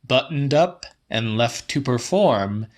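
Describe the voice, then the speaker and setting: A man reading literary fiction as an audiobook narrator, slightly dramatic, with deliberate, measured phrasing. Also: medium pitch, lively intonation. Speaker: audiobook reader.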